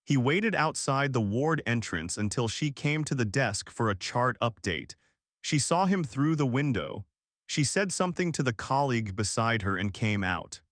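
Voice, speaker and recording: A man narrates a story in a measured, steady storytelling style. His pitch moves a lot across each sentence. The recording is dry and close. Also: no room sound. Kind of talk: scripted audiobook narration.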